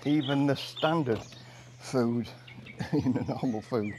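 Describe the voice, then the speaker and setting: An older man with an English accent chatting casually while washing his car, in a monotone voice and a rambling storytelling manner. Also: medium pitch, slow pace, background noise. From England. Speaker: vlogger. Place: outdoor carport of a house. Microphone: camera mic from a few meters away. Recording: outdoor camera audio with birdsong. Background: birds and rural outdoor ambience.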